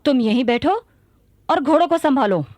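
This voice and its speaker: A woman's voice: sits high, expressive, moderate pace, darkish tone, dry recording. A woman acting a bold, commanding young warrior sultana in a radio drama. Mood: resolute.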